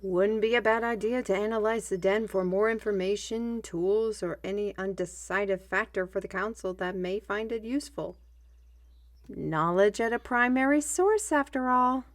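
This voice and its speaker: A woman playing a young, learned squire in an audio roleplay, explaining things in an animated, chatty manner. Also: mid-range voice, moderate pace, close to an everyday voice. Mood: matter-of-fact.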